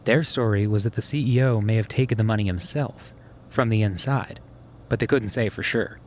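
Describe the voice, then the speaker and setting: An actor playing a sharp, methodical schemer who explains things conversationally in a naturalistic audio drama. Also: male voice, on the low side, normal speed, a little hiss or hum, natural voice. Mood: explaining intently. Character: sharp, methodical male schemer plotting revenge on a swindler.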